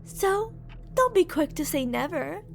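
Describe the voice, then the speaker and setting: A woman's voice: very high-pitched, lively intonation, creaky, faint music behind, heavily acted. A woman voicing an obsessive, menacing shapeshifting monster who coaxes and taunts intimately in an ASMR horror roleplay. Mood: possessive, pleading. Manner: intimate, taunting, possessive, with drawn-out phrases. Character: obsessive female shapeshifting monster posing as a lover, menacing, coaxing.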